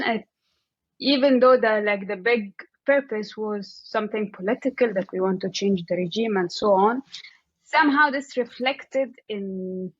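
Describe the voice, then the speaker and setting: A woman's voice: mid-range voice, slightly rough. Interview guest: woman with an Egyptian accent, conversational and searching for words, recorded muffled and a bit roomy. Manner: halting, repeats "like" as she thinks aloud.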